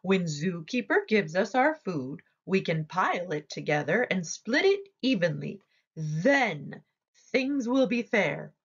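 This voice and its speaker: A woman performs a female giraffe from a picture book, competitive and sure of her own ideas. She speaks in unhurried, swooping phrases with a persuasive, boastful air. The recording is slightly muffled. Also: lowish voice, very animated, husky, exaggerated voice.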